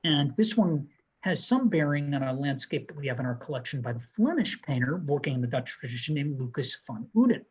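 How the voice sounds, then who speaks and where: A man in his thirties co-hosting a museum talk over a video call, walking viewers through artworks in a measured, descriptive way. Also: a little high, very animated, slightly rough, lo-fi sound. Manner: measured, descriptive, pointing out details. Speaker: museum co-host presenting artworks. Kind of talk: art history talk over slides. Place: room with bookshelves.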